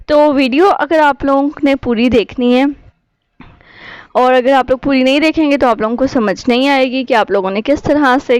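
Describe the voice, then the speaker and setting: Woman, urdu, kinda high voice, doing a casual youtube how-to, dry close sound. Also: no room sound. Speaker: YouTube tutorial host. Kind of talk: casual app tutorial narration.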